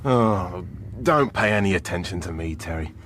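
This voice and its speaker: A man's voice: low-pitched, lively intonation, normal speed, a bit bright, slight background noise. An actor playing a blunt, sarcastic, grumbling policeman on surveillance in a radio crime drama. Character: cynical, grumbling male surveillance policeman, teasing but kindly.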